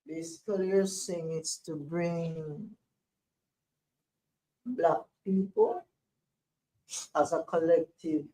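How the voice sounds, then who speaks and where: A man restates what he has heard in a hesitant, soft-spoken way. He talks very slowly, and his tone is dark and mellow. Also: a little high, fairly even intonation, low-quality recording. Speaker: questioner.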